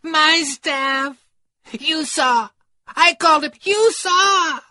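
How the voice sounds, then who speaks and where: A man voicing a scrawny, mad cave creature that cackles and rants in a shrill, gloating voice for an audiobook. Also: very slow, bright, forceful tone, heavily acted. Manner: ranting, gloating. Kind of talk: audiobook character voice.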